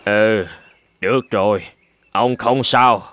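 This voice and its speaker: Slow-talking kindly old goat. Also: male voice, fairly low pitch, fairly even intonation, no room sound. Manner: slow, gentle, reassuring. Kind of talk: children's cartoon dubbing.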